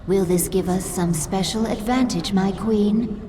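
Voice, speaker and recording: A woman's voice: medium pitch, a little slow, dark tone, close to an everyday voice. A woman voicing a submissive alien servant in a video game, speaking formally and deferentially, as if to a queen. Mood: deferential, eager. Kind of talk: video game cutscene acting. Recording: distant, reverberant, faint noise.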